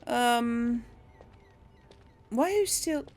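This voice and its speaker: A woman's voice: on the high side, expressive, very slow, a little mellow. A woman giving casual commentary as she role-plays through a video game, thinking aloud hesitantly. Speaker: video game streamer role-playing for an audience.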